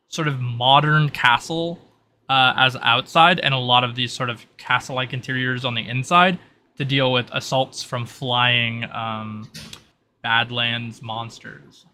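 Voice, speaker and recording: A man improvising narration as game master, explaining the scene in a halting, conversational way. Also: medium pitch, steady tone, pushed and bright, slight background noise. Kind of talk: improvised narration of a tabletop roleplay.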